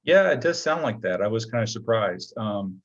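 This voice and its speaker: A man's voice: lowish voice, expressive, normal speed. A man giving a casual interview as a guest, talking in a hesitant, rambling, modest way. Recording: dull, lo-fi connection.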